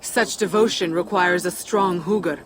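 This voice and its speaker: A woman playing a proud, defiant giantess, a tyrant's daughter, measured and wry in a video game cutscene. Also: fairly low pitch, fairly even intonation, fairly bright tone, slight background noise. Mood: cool resolve.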